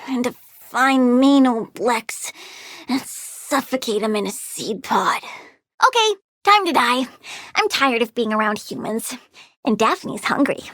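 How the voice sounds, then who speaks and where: A woman's voice: on the high side, lively intonation, takes it slowly, a bit bright. An actress playing a childlike plant-girl villain in a video game, girlish and playful, petulant, gleefully menacing and doting on her plants. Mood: sweet threat. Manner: playful, petulant, swings from cooing to threats. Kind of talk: video game character voice lines.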